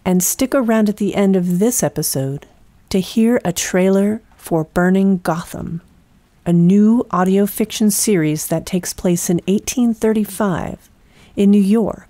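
A woman hosting an audio drama podcast, reading a scripted introduction in a measured, hushed manner. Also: mid-range voice, dry recording. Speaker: podcast host and producer.

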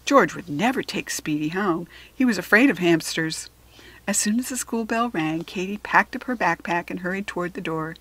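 A woman with a standard American accent reading a children's chapter book aloud in an expressive, teacherly way. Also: on the low side, moderate pace, poor audio.

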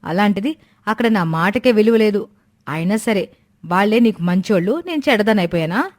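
A woman's voice: mid-range voice, expressive, moderate pace, a little mellow, lightly acted. Woman as a haughty, petulant young daughter. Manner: petulant, complaining. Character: haughty, pampered young married daughter, forever complaining.

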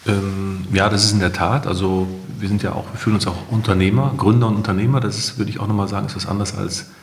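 A middle-aged man speaks conversationally as a guest in an interview. His voice is deep with plenty of fry, and the sound around it is roomy and echoing. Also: steady tone, fast. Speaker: company founder, interview guest.

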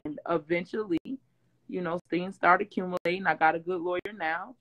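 Guest: rough-voiced woman, 40s, lively storyteller on a home video call. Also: on the low side, brisk, poor audio. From Detroit. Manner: animated storytelling, loud swings, laughs. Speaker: interview guest, former reality-show singer. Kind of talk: casual chat. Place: home room with green wall backdrop, video call.

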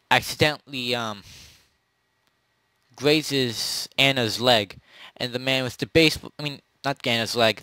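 Man, mid-pitched voice, game master, casual improvised storytelling, halting and self-correcting, close dry sound. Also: sharp tone, no room sound. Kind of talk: improvised narration of a tabletop role-play, casual.

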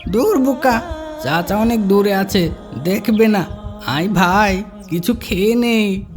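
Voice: medium pitch, slow, over music, lightly acted. Sly, scornful young cartoon boy acted out. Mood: dismissive. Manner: scornful, wheedling. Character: sly, greedy, rude young boy, the lazy brother. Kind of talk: children's moral cartoon dub.

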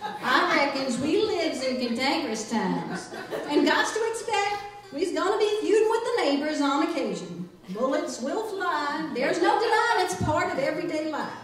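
A woman's voice: sits high, very animated, normal speed, far from the mic. A woman playing a cantankerous, folksy farm wife with rustic grammar in an old-time radio comedy skit. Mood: indignant, folksy. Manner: drawling rustic grammar, mock-earnest. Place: small library room, on stage. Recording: echoey room, picked up from afar.

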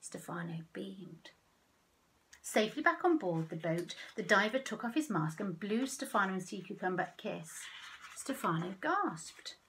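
A woman's voice: medium pitch, sing-song, a little slow, fairly bright tone, slight background noise, rough recording. Woman reading a children's picture book. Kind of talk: expressive picture-book storytelling for young children. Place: outdoors in a back garden.

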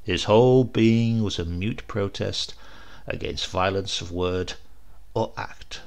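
A man reading a horror story as an audiobook narrator, theatrical and suspenseful, with emphatic swells and hushed drops. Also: fairly low pitch, slow pace.

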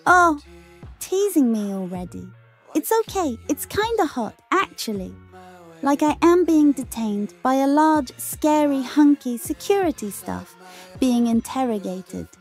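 Woman doing a high flirty robot chicken. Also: animated, measured pace. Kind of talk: comic dub acting. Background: music.

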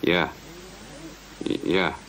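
An actor playing a dazed disembodied brain waking in a tank, speaking in halting fragments in a German radio drama. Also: male voice, a bit bright, poor audio. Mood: dazed, confused.

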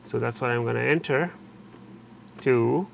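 Male tutorial narrator, average pitch, halting explanatory delivery, recorded with light background noise. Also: vocal fry. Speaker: technician giving a tutorial. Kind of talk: unscripted step-by-step instructional narration. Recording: steady background noise, room ambience.

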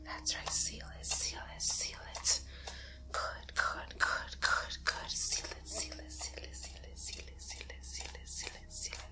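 A young adult woman playing a vengeful, soothing witch casting a spell in a breathy whisper, as an ASMR fantasy roleplay. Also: natural voice. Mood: hushed, urging. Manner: slow, incantatory, repeating phrases. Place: bedroom, indoors.

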